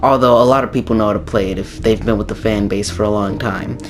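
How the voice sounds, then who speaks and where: A young man with a slightly raspy, hoarse voice from a sore throat, giving a rambling casual game tutorial with many ums and restarts. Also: fairly low pitch, fairly even intonation, fast talker, music in the background. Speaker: gaming YouTuber.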